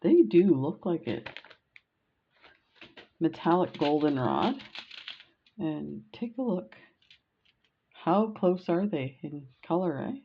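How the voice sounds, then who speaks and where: A middle-aged Canadian woman talks slowly through a bead haul, chatty and gushing, full of little fillers. Her voice sits low, and the home recording sounds dull and lo-fi. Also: big pitch swings, slight background noise. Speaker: beading vlogger. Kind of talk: casual unboxing chat.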